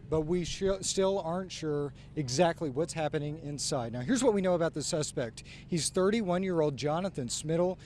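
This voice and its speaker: A man's voice: on the high side, darkish tone. A man reporting live for TV news from outdoors on a street. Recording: faint outdoor street and vehicle noise.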